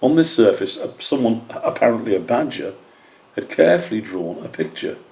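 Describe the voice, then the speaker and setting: A man's voice: lowish voice, big pitch swings, normal speed, darkish tone, roomy sound, low-quality recording. A man reading a scripted children's animal story as its narrator. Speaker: author or story reader.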